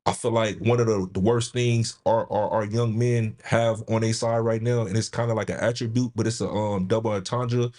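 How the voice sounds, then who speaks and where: Earnest man with a low voice. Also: flat delivery, normal speed, hardly any pauses, darkish tone. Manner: earnest, repeats and restarts phrases. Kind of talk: candid personal storytelling. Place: studio with caller on line.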